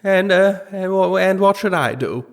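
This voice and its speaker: A bumbling, posh British king in a comedy audio play. The voice is very high for a man and wanders all over, hesitant and stammering, forever unsure of himself. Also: expressive, moderate pace, creaky. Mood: flustered. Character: dithering, henpecked, feeble-minded king. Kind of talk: comic period audio drama acting.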